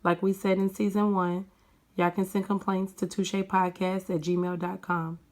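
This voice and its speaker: A woman reading a scripted podcast intro in a measured, read-out way. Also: medium pitch, flat delivery, a little mellow.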